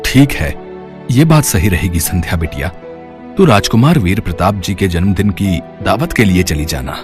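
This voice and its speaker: A man voicing a kind, humble old tailor and father in an animated story, gentle and fatherly in his manner. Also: low-pitched, moderate pace, over music.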